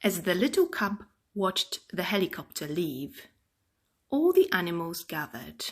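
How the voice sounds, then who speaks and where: A woman reading a children's story aloud in an expressive, dramatic storytelling style. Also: fairly low pitch, measured pace.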